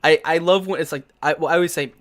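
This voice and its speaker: A young man hosts a live stream in a loose, enthusiastic way and swears freely. His voice sits a bit high for a man. He trails off and restarts often as he chats. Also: steady tone, quick pace. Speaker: host of a tabletop game talk show. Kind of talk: casual live-stream chat.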